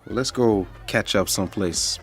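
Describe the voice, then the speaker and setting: A man's voice: on the low side, moderate pace, faint music behind, close to an everyday voice. A man playing a flirtatious, laid-back street-raised guy in a game cutscene, casual and teasing, with an American accent. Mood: playful, teasing. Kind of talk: naturalistic video game dialogue.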